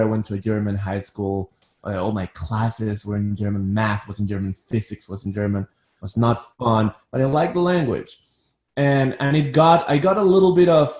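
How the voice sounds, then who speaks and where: A man from Ecuador speaks with a fairly low voice as an interview guest. He talks thoughtfully and often repeats words while starting a sentence. The recording has a noticeable roomy echo. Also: warm tone. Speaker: guest, psychiatrist. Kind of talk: interview conversation.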